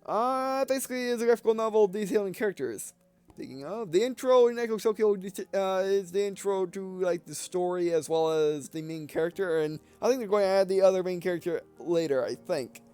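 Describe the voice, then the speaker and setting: A man's voice: high-pitched, a little fast, mellow, smooth, soft background music. A man hosting a gameplay video with casual, rambling, digressive commentary, often trailing off mid-sentence.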